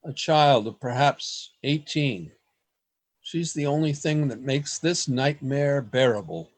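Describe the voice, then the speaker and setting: An earnest, anxious man acted by a man tells his story as if from a diary in a radio drama. His voice is rough-edged and unhurried. The pitch moves widely, giving it a theatrical, deliberate feel. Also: animated. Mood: haunted, wistful. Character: earnest, anxious man trapped among secret store dwellers. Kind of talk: radio play acting with diary narration.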